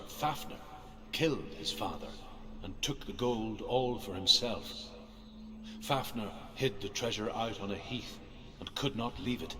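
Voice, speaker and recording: A man playing a grave teller of Norse legends, narrating in a measured, solemn way in a video game. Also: fairly low pitch, fairly bright tone, big-room sound. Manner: measured, solemn oral storytelling.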